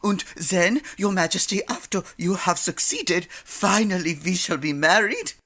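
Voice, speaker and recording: Lovestruck henchman: fawning, sharp-toned, formal, for a comic radio play. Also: sits high, unhurried, heavily acted. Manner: obsequious, formal. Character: fawning, lovestruck villain's minion addressing queen. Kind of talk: comedy audio drama acting.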